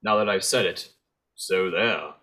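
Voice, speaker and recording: A man playing Santa Claus as a theatrical storybook narrator, speaking in rhyme with a husky voice. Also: deep voice, unhurried, bright, forceful tone, full character voice. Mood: storybook, grave.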